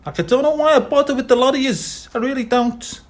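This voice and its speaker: A man's voice: very high-pitched, fairly even intonation, brisk, voice pushed up. A man voicing a streetwise male talking cat with a wheedling, persuasive patter in an audiobook. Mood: scheming.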